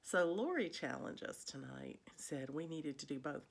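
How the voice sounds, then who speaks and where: A woman's voice: low voice, animated, normal speed, creaky, slightly rough. A woman chatting casually to viewers as a vlog host, in a rambling way, with a slightly congested, froggy voice. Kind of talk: casual chat to viewers about cross stitch.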